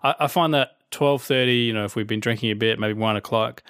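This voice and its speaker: A joking man in his thirties, co-hosting a relaxed podcast chat. His voice sits at a middle pitch, and he teases as he talks. The recording is dry and close to the mic. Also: quick pace, clear, resonant tone, dry recording. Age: 30s. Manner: joking, teasing. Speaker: podcast co-host. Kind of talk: casual chat.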